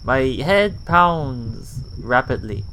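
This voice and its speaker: A man's voice: medium pitch, lively intonation, extremely slow, bright, forceful tone, a little hiss or hum, rough recording. A man reading a visual novel aloud as a let's play host, stumbling and rereading lines as he goes. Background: faint music.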